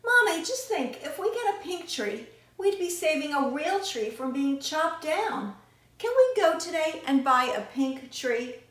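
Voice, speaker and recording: An eager, persistent little storybook girl, acted out by a woman. The voice is very high, and she pleads and gushes with great insistence in a roomy space. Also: animated, measured pace, a bit bright. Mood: pleading. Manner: exclaiming, insistent, gushing.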